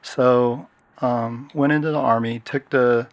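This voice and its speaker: A middle-aged man from Washington State speaking as an interview guest, telling his story in a relaxed, hesitant way with many fillers. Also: medium pitch, slow, dry recording, highs cut off. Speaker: guest, security consultant. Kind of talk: casual interview talk.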